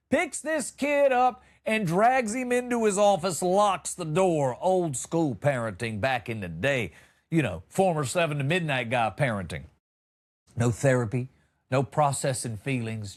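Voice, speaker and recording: A middle-aged man delivers a comic storytelling monologue in a fairly high voice. His pitch swings widely as he builds wry exaggerations and lands emphatic punchlines, like a seasoned comedy podcast host.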